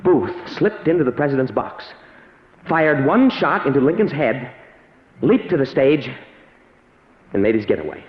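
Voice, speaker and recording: An actor playing an earnest young research assistant, dramatic and deliberate, in an old radio drama. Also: male voice, mid-range voice, big pitch swings. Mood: grave narration. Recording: echoey, dull vintage radio recording.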